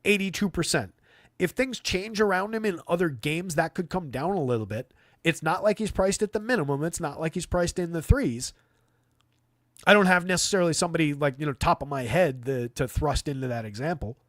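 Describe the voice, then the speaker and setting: A man's voice: a little high, a little fast, a bit bright, no echo. A man hosting a live fantasy basketball stream, talking through analysis off the cuff in a conversational, dry way. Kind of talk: live unscripted sports analysis with chat replies.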